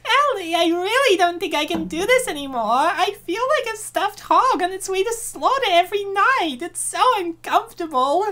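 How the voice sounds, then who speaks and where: A woman voicing a fretful young student, high and lively. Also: normal speed, few pauses. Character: anxious young woman student, self-conscious. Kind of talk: comic dub reading.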